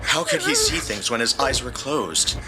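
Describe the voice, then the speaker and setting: A man's voice: mid-range voice, huge pitch swings, normal speed. A man reading a scripted story as a dramatic narrator, with music underneath. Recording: prominent music bed.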